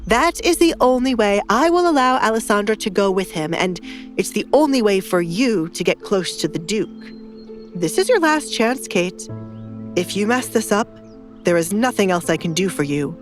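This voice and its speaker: A woman's voice: medium pitch, animated, moderate pace, close to an everyday voice. Woman acting a noble father, middle-aged, stern, wary, scheming, commanding, storybook drama, background music.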